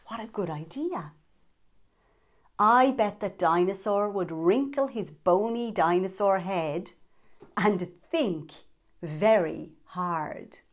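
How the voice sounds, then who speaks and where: A woman's voice: medium pitch, lively intonation, slow. A woman in her thirties hosting storytime, reading a picture book aloud expressively to young children with dramatic emphasis.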